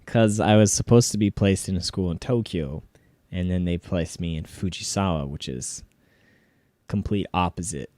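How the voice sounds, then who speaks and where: Male vlogger, lower register, casual reaction commentary with easy chuckles, dry recording. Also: moderate pace, darkish tone, no echo. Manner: laughs readily while reminiscing. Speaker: vlogger reacting to own old videos.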